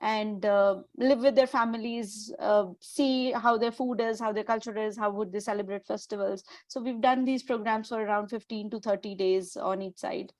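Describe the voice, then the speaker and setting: A woman's voice: medium pitch, steady tone, moderate pace, hardly any pauses, darkish tone, dry recording. A woman teacher from India, speaking in a webinar with frequent hesitations and fillers. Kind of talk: webinar discussion.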